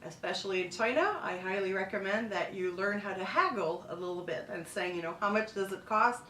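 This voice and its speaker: A woman's voice: medium pitch, fairly quick, sharp tone, distant mic, slight background noise. A middle-aged woman from Montreal, talking casually to camera as a food and travel blogger, loose and chatty with restarts. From Montreal, Quebec, Canada. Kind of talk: casual vlog monologue. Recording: echoey room, mic set back.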